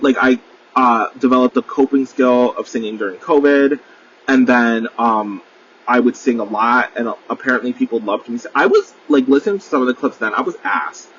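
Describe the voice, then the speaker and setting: A young man rambles through a solo podcast, piling up fillers and casual swearing as he pokes fun at himself. His voice sits at an everyday middle pitch. The recording sounds a little muffled, with a faint hiss underneath. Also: a bit bright. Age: 20s. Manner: rambling, full of fillers, swears freely, self-deprecating. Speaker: podcast host.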